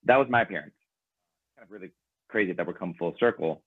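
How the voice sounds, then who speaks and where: Deep male guest, native Ukrainian speaker, loose and meandering, phone-quality dry audio. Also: quick pace, husky, dry recording. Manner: thoughtful, rambling with fillers. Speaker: band member guest. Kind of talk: casual interview conversation. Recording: tinny phone-like line.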